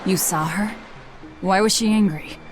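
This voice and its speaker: Woman acting a young female game adventurer, slow-paced, blunt, dry, guarded, music underneath. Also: medium pitch, close to an everyday voice. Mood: skeptical. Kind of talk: video game cutscene voice acting.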